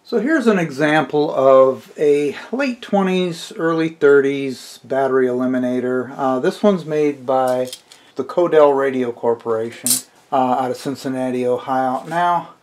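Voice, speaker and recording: A middle-aged man with a medium-pitched voice talks through a review as a hobbyist. He is conversational and plain-spoken, explaining things informally without a script. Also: unhurried, a little mellow.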